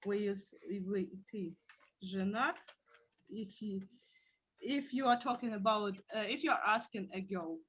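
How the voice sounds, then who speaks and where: A woman's voice: medium pitch, takes it slowly, fairly bright tone, a little hiss or hum. A woman teaching a beginner language class, patiently explaining words one at a time.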